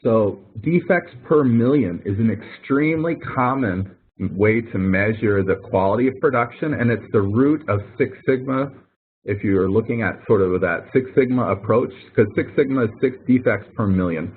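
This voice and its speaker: A man's voice: on the low side, lively intonation, normal speed, a little hiss or hum. A man working as a college professor, explaining things step by step in an informal online tutoring session, with emphatic repetition. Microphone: online call microphone. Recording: thin phone-like online conference audio.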